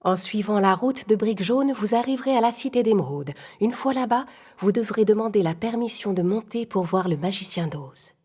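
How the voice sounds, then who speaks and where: A woman voicing a gentle, gracious good witch in a children's cartoon story. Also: mid-range voice, fairly quick, breathy edge, close to an everyday voice. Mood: gently instructive. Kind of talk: children's audio story acting.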